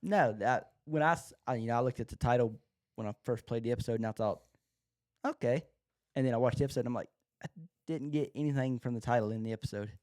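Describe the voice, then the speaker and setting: A man's voice: mid-range voice, quick pace, a little mellow. A man co-hosting a podcast and casually discussing a television show. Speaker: podcast co-host.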